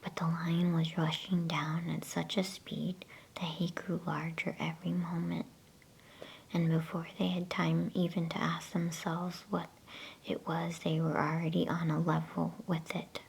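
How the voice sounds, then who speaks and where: A woman whispers softly in an intimate ASMR storytelling style. Her voice is low and a little crackly, with a gentle, unhurried delivery. A faint noise with a low rumble sits under the recording. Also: flat delivery, gravelly. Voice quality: soft unvoiced whisper. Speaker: ASMR bedtime story reader.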